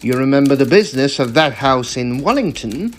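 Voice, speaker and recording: An actor playing a wry Edwardian gentleman in a period audio drama, with theatrical, formal old-fashioned phrasing. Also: medium pitch, animated, brisk, a little hiss or hum, close to an everyday voice. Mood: wry, dramatic. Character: Edwardian gentlemen, detective and office colleague, deliberate, wryly dry. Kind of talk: period radio drama dialogue.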